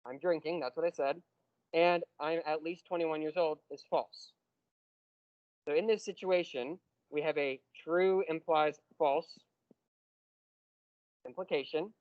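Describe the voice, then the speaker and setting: A man teaching a university math class, explaining step by step and slowing down on key terms. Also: a little high, dry recording, lo-fi sound. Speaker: math lecturer. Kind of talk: classroom lecture.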